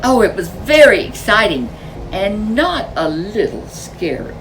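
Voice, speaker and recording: A woman's voice: mid-range voice, sing-song, unhurried, fairly bright tone, far from the mic, low-quality recording. A woman reading a children's storybook expressively as a storyteller. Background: music.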